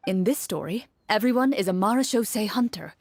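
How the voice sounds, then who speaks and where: A woman playing a composed, courteous young game master in a video game, explaining things in a measured, formal way. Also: medium pitch, expressive, no echo, studio quality. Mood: composed, helpful.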